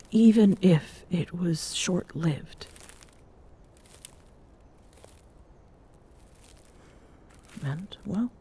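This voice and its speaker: A woman playing a tender, wistful Amazonian queen in an intimate ASMR roleplay, speaking softly and breathily with pauses. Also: fairly low pitch, slight background noise. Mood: apologetic, gentle. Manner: slow, hushed and breathy with soft sighs and pauses.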